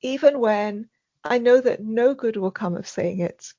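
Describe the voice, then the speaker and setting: A soft-spoken woman being interviewed, speaking haltingly with many ums and restarts. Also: on the high side, dry recording, muffled recording. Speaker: podcast guest. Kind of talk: casual interview conversation.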